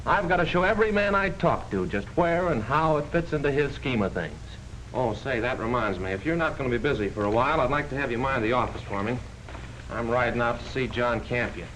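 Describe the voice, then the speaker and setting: A man's voice: medium pitch, animated, brisk, fairly bright tone, lightly acted. An actor in a 1940s film playing an earnest small-town male insurance salesman, folksy, patient and persuasive. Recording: noisy 1940s film soundtrack.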